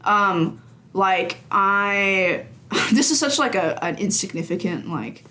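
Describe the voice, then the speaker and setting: A young woman talks casually as a podcast guest. Her voice sits at a middle pitch. She is informal and hedges as she works out her thoughts aloud. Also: lively intonation, slow pace, sharp tone. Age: young adult. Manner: informal, hedging, thinking aloud. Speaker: podcast guest, games journalist. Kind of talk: casual interview chat.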